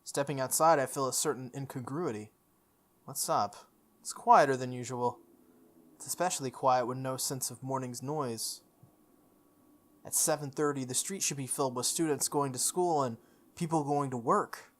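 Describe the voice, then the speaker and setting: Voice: medium pitch, moderate pace. A video game playthrough host reading a visual novel aloud, breaking off into informal, exclamatory asides. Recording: music under the voice. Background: background music.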